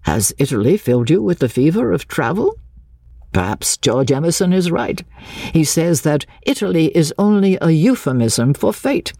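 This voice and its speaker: A woman voicing a courteous, sympathetic English gentleman in an audiobook, gentle, formal and measured. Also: low-pitched, sing-song, gravelly, husky, no room sound, studio quality, heavily acted. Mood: mildly surprised. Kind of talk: audiobook character dialogue.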